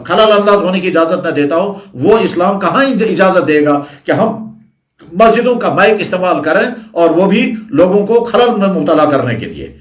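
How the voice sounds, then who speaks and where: A man's voice: high-pitched, animated, moderate pace. A man giving an instructional Islamic sermon in a didactic way, repeating phrases for emphasis. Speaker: Islamic preacher. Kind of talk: sermon, instructional religious talk. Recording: echoey, reverberant room.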